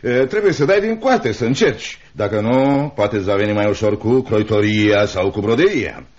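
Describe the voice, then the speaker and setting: An actor playing a cold, miserly older uncle in a Romanian radio play, sarcastic and dismissive, muttering repetitions. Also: male voice, lowish voice, animated, a little mellow, lightly acted. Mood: brusque, contemptuous. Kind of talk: Romanian radio theatre acting. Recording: dull, band-limited old radio drama recording.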